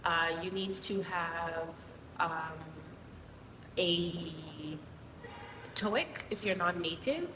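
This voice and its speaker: A woman from Zimbabwe, a guest teacher, explaining things casually with many pauses and ums. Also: lowish voice. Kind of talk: casual interview answers. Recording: distant mic, roomy hall, noisy.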